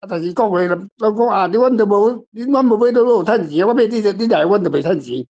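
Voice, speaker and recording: A slightly high-voiced older man with a Taiwanese accent talks casually as a guest author. He wanders through anecdotes and repeats phrases. The recording is a little muffled. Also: quick pace, darkish tone. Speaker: author guest discussing their story. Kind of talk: casual literary discussion.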